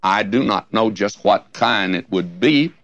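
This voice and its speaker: Older man, hoarse radio Bible teacher, slow folksy emphasis, gravelly low voice. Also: a bit bright, no echo, muffled recording. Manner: slow, folksy, emphatic, rising on key words. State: hoarse, scratchy voice.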